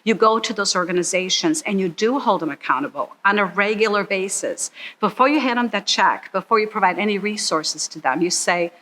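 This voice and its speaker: A middle-aged woman gives an earnest, heartfelt testimonial in an interview. Her voice is bright and crisp, and her pitch sits in an ordinary middle range for a woman. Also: brisk, few pauses, low-quality recording. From Croatia. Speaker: donor and supporter of a charity.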